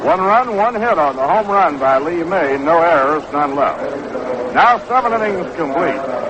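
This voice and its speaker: A man's voice: a little high, animated, unhurried. An American man calling baseball play-by-play in the style of 1960s radio sports commentary. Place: stadium broadcast booth. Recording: dull, noisy 1960s AM radio broadcast.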